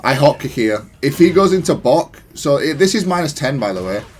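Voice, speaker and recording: A man's voice: medium pitch, sing-song, fairly quick, husky, faint music behind. A man giving casual, analytical gameplay commentary in a matter-of-fact, self-critical way. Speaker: gaming content creator.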